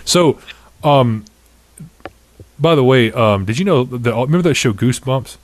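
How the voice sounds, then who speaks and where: A man's voice: mid-range voice, a little slow, a little hiss or hum. A man in his thirties hosting a casual podcast, chatting and ranting in a profane, opinionated way. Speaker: podcast host. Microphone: studio condenser microphone on table.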